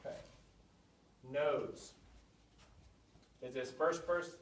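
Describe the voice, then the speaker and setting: A man teaching a basic language class, thinking aloud and spelling out words slowly. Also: on the high side, distant mic. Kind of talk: classroom lesson at a whiteboard. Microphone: camera at a distance. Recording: echoey room, voice from afar, faint hum.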